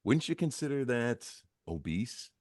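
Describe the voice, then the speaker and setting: A man's voice: mid-range voice, big pitch swings, unhurried, darkish tone. A man playing a skeptical, needling straight man with dry, questioning delivery at a comedy table read. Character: skeptical, needling male conversation partner in comedy.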